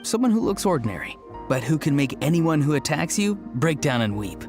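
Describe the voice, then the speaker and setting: A man voicing a friendly, calm young man in a fantasy video game, telling playful stories in a measured, earnest way. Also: on the high side, soft background music.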